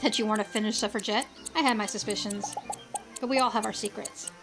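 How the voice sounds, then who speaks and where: A woman's voice: mid-range voice, fairly even intonation, fast, over music, lightly acted. A woman playing a wry, unflappable time traveller with a playful, knowing manner, in a comic audio drama.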